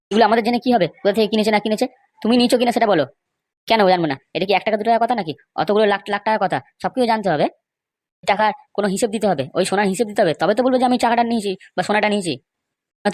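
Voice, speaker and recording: A man's voice: sits high, no room sound, studio quality, lightly acted. A man with a West Bengal accent voicing argumentative, indignant men in an amateur comedy cartoon, scolding and insistent. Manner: scolding, insistent, rhetorical questions.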